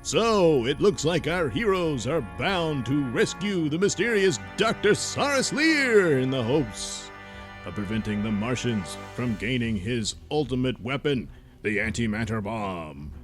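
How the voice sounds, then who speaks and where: A man's voice: medium pitch, expressive, unhurried, close to an everyday voice. Guy doing a mock-dramatic space serial narrator, big swings, music under, tongue in cheek. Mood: mock-grandiose. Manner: grandiose, mock-serious.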